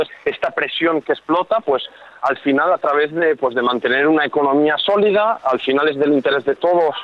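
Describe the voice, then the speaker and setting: A man from Catalonia with a Spain Spanish accent, a freelance journalist calmly explaining events as a radio interview guest, pausing often to search for words. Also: medium pitch, no room sound, narrow, tinny audio. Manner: measured, explanatory, frequent hesitations.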